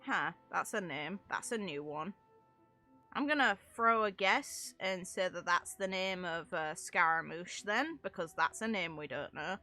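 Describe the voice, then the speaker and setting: A woman's voice: on the low side, expressive, a little slow, sharp tone, faint music behind. A woman streaming a game with casual live commentary, rambling as she thinks aloud and swearing mildly.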